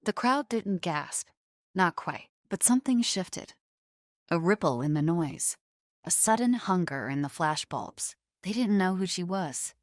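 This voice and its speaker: A woman's voice: lowish voice, animated, measured pace, no echo, clean studio sound. A woman narrating a scripted drama story in a dramatic style.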